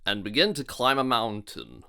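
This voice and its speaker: A man's voice: medium pitch, wildly swooping pitch, normal speed, vocal fry, loud music behind the voice. A man narrating a scripted review in a sardonic manner.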